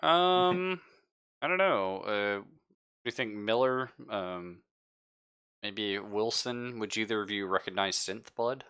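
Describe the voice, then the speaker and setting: A man narrating a tabletop game casually as game master, often pausing to search for his words. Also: fairly low pitch, a bit bright, smooth.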